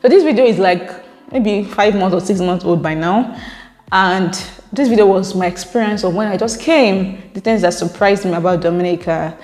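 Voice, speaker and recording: A woman's voice: medium pitch, normal speed, darkish tone, soft background music. Nigerian woman chatting in an echoey room. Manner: chatty, explains at length, laughs often. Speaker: vlogger reading viewer comments. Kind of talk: casual chat to camera. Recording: echoey room with long reverb.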